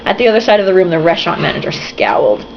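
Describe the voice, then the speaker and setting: A woman's voice: on the low side, big pitch swings, racing. A young woman reading a novel aloud, tipsy, giggly and sarcastic, breaking off for swearing asides. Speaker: reader and commentator. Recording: dull lo-fi home recording with faint hiss.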